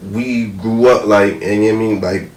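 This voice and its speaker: A man's voice: on the low side, fairly even intonation, normal speed. An American man in his thirties chatting casually and opinionatedly in slangy, profane speech, repeating his verbal tags. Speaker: podcast panelist. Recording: roomy, distant mic, faint noise.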